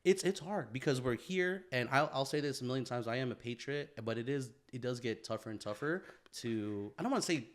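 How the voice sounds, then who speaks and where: A man co-hosting a casual podcast chat, talking thoughtfully and filling pauses with "yeah" and "you know". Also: mid-range voice, lively intonation. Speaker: podcast co-host.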